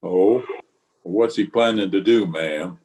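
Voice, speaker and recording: A man plays a steadfast Old West marshal in a radio drama. His voice is fairly low and very slow, with a grave, measured and courteous manner, calm and a little weary. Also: low-quality recording. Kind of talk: old-time radio western acting.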